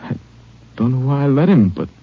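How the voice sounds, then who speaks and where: An actor in an old radio drama playing a gravely wounded deputy, a grieving father, who talks haltingly and pleads. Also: medium pitch, sing-song. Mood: pained, halting. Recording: dull vintage radio recording.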